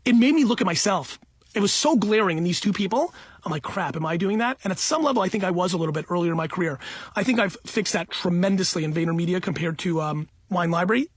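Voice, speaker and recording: A man's voice: sits high, fast talker, slightly rough, no room sound. A middle-aged man talking off the cuff for an audiobook in an emphatic, self-assured, blunt and conversational way. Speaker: entrepreneur and company CEO reading own audiobook.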